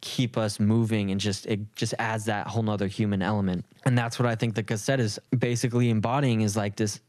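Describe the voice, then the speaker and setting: Low-voiced man talking casually, dry sound. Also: flat delivery, fairly quick, a little mellow, no echo. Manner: informal, rambling, slangy. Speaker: music producer. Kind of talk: casual reflective talk.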